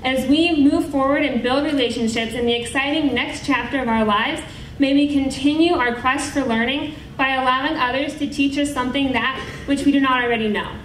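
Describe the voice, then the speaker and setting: A woman's voice: sits high, a little fast, a bit bright, a little hiss or hum. A woman giving a formal student speech at a graduation ceremony in a large auditorium. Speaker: student speaker. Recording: echoey hall, distant mic.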